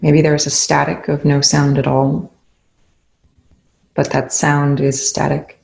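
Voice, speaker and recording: A meditation instructor leading a guided session in a soothing, gentle, unhurried way with long pauses. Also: mid-range voice, flat delivery, vocal fry, echoey room, low-quality recording.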